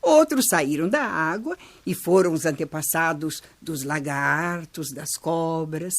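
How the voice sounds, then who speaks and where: An actress playing a kindly older Brazilian grandmother who teaches through stories in a children's radio drama, measured and expressive. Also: low-pitched, heavily acted. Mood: patient, instructive. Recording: music bed under voice.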